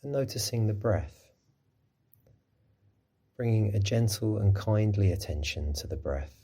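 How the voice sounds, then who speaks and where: Low, mellow, husky man guiding meditation. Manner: gentle, unhurried, soothing instruction. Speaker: mindfulness teacher. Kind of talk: guided body scan meditation.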